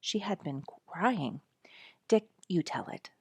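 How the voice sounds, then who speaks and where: A woman narrator plays a devoted storybook mother, earnest and quick to exclaim. Her voice sits fairly low and is recorded dry, with a dramatic, self-deprecating turn. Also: sing-song, slightly rough, no echo, exaggerated voice. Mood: warm, confiding.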